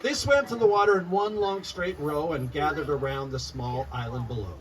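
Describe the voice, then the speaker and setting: A man reading a rhyming picture book aloud to young listeners in an expressive, animated storytelling style. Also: a little high, moderate pace. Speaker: children's author reading aloud. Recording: noisy, distant mic, live room ambience.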